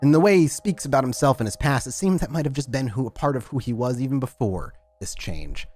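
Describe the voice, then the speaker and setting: A man's voice: medium pitch, lively intonation, a little fast, a little mellow, dry recording, soft background music. A man narrating a tabletop roleplaying game as storyteller, describing scenes in detail.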